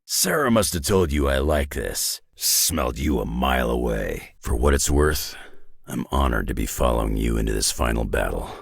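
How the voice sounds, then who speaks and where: A man voicing a gruff, weary middle-aged superhero brawler for a video game, with a deep raspy growl and terse, dry, folksy tough-guy talk. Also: sing-song, moderate pace, no room sound, exaggerated voice. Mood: blunt, world-weary. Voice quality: deep gravelly rasp.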